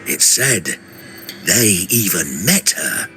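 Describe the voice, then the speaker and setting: A man playing a proud, reverent warrior in a video game, telling his land's lore in a measured, earnest way. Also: sits low, very animated, sharp tone, a little hiss or hum, exaggerated voice.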